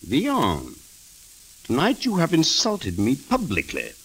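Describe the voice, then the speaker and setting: An actor playing a sly, imperious old king in a 1930s radio drama, speaking theatrically with drawn-out mockery on a hissy, crackly recording. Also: on the high side, huge pitch swings, fairly bright tone, husky, exaggerated voice. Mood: mocking, commanding. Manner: theatrical, deliberate, drawn-out and mocking.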